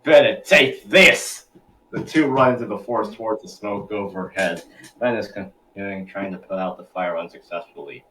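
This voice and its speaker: A man's voice: deep voice, steady tone, a little fast, distant mic, slight background noise, poor audio. A man reading scripted narration for a table read, now and then stumbling over his words.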